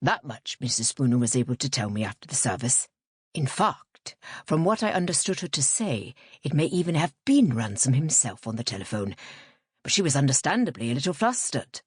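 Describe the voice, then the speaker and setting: A woman's voice: a bass voice, very animated, a little fast, slightly rough, no room sound, studio quality, voice pushed down, heavily acted. A woman voicing a genial, self-assured and slightly pompous male priest in an audiobook, with leisurely, unctuous emphasis. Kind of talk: audiobook character voice.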